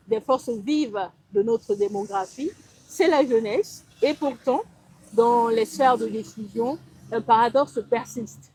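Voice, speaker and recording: A woman in her twenties with a Cameroonian accent, hosting a conversational interview in a halting, searching way. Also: fairly high pitch, a little mellow, slightly rough, low-quality recording. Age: 20s. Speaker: interviewer and host. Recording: some background ambient noise.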